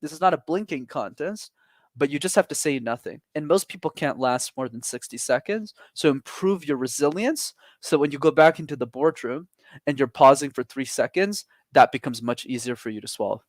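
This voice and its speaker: Guy coaching public speaking on a video call, pitch jumps all over, dry close sound, punchy. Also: fairly quick, dry recording. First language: not English. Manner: energetic, emphatic, lively pitch swings. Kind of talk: webinar advice talk.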